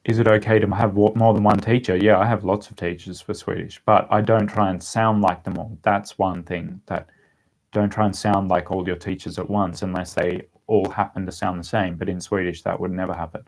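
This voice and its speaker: A man's voice: deep voice, flat delivery, fairly quick. A man with an Australian accent chatting casually as he answers viewers' questions on a livestream. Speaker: language-learning vlogger answering viewer questions.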